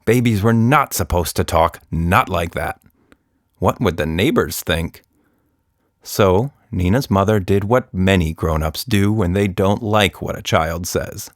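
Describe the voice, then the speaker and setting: A man narrating a scripted children's story as a podcast storyteller, deliberate and expressive. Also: deep voice, no echo, clean studio sound.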